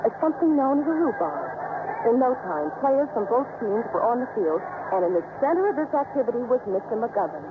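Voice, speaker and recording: A woman's voice: fairly high pitch, big pitch swings, a little fast, background noise. A woman playing a wry storyteller, narrating baseball games dramatically in a scripted 1950s radio style. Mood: wry, knowing. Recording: tinny 1950s radio recording with music.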